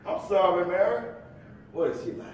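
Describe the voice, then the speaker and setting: An earnest, restless young bachelor, acted by a man in a live stage reading. His pitch swings widely as he pleads theatrically, heard from a distance in a very echoey hall. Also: sing-song, moderate pace, highs cut off. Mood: curious, eager. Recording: echoey hall from far off, faint rumble.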